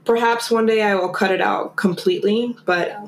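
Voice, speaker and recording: A woman's voice: medium pitch, steady tone, moderate pace, reverberant, a little hiss or hum, low-quality recording. A woman from Germany, a guest in a casual conversation, who talks in a chatty, rambling way full of fillers.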